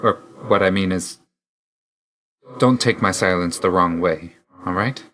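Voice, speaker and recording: An actor playing a brooding young god of death in a video game, speaking in a reserved, dry, measured and formal way. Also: male voice, deep voice, a bit bright. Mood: guarded, tender. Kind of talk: video game character dialogue.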